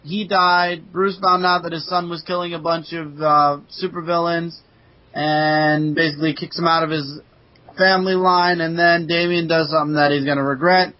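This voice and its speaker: A man's voice: fairly high pitch, level tone, normal speed. A man co-hosting a podcast, casually chatting and recapping comic books in a dull, lo-fi recording.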